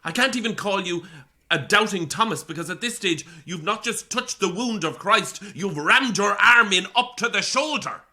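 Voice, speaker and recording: A man's voice: high-pitched, lively intonation, moderate pace, sharp tone, exaggerated voice. An actor playing a fervent religious man who believes in miracles, insistent, preachy and combative in an audio drama. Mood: impassioned, indignant. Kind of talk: naturalistic audio drama acting.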